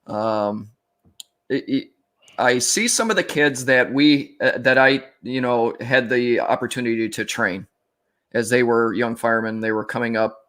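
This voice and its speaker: American male podcast host, forties, average pitch, reflective and halting, casual firefighter shop talk. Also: fairly bright tone. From upstate New York, United States.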